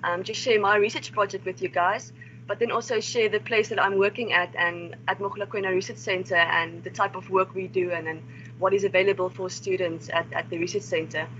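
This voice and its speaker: A woman's voice: mid-range voice, steady tone, a little fast, fairly bright tone. A young woman from South Africa, a wildlife research assistant, giving a friendly, informal online seminar talk. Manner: friendly, informal, with some restarts. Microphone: video call. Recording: slightly dull online call audio with faint noise.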